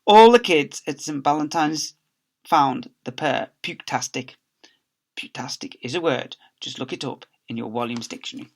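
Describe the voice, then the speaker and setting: A man's voice: medium pitch, moderate pace, gravelly. A man, a teacher, reading a storybook aloud to young children with playful, theatrical emphasis.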